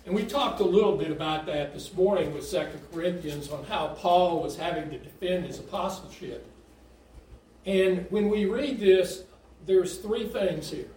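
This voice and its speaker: A man's voice: high voice, darkish tone, slight background noise. Texas-born man preaching in an echoey church. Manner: folksy, conversational preaching with quiz-like questions. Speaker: visiting lay preacher. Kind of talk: sermon. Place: church sanctuary. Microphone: standing away from the mic. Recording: very echoey church hall, mic far off.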